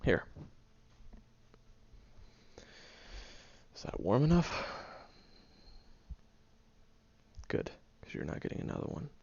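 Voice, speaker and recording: A man's voice: medium pitch, very animated, fast, vocal fry, a little mellow, breathy edge, full character voice. A man voicing a prickly young man who secretly cares, speaking straight to the listener in an audio roleplay, grumbling and hesitant but tender underneath. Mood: teasing. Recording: dull lo-fi recording.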